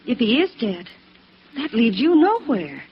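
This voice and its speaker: A woman's voice: a little high, very animated, a little slow. An actress playing a curious, uneasy young woman in a 1950s radio detective drama, with a natural, questioning delivery. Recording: muffled, faintly noisy vintage radio recording.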